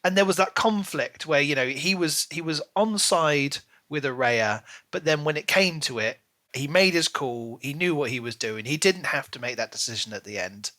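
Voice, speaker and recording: A man hosting a casual book discussion, animated and dramatic, arguing his opinions. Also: on the high side, normal speed. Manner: animated, dramatic, mock-voices characters' lines. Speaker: book podcast host.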